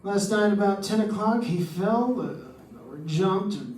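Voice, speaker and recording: Man acting a suspicious insurance man, radio drama, high pitch, halting phrases, distant mic with faint noise. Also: expressive, darkish tone, roomy sound. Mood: worried. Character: worried male insurance man with nagging suspicions.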